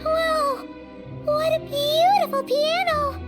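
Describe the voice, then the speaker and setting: A woman voicing a small, cheerful, childlike fairy companion in a game dub, with a squeaky, perky delivery. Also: steady tone, slow. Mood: excited. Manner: perky, refers to self in third person. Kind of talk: English anime game dub acting. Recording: loud music behind the voice.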